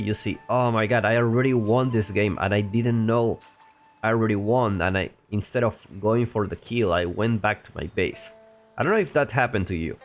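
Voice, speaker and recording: Male game caster, slightly sick, low rough voice, rambling asides, faint music underneath. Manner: rambling, chatty, frequent restarts and asides. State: a little sick, voice deeper than usual. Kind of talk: casual play-by-play commentary.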